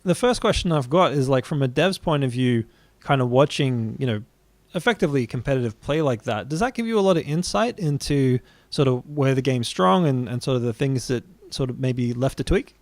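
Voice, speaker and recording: A man hosting a live stream, asking loose, relaxed questions. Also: medium pitch, fairly quick, no room sound. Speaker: stream host. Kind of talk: casual live-stream interview.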